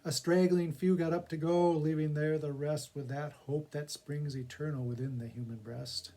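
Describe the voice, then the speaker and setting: Guy with kinda higher voice doing a library poetry reading, storyteller type, theatrical. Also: fairly even intonation, moderate pace, mellow. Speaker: poetry reader for a public library reading project. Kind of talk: dramatic poetry recitation with casual spoken introduction.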